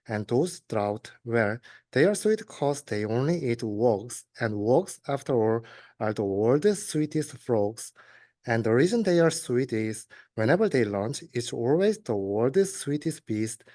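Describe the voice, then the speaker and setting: A man's voice: mid-range voice, a little mellow, no echo, studio quality. A young man, a university student, reading a rhyming children's story aloud. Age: young adult.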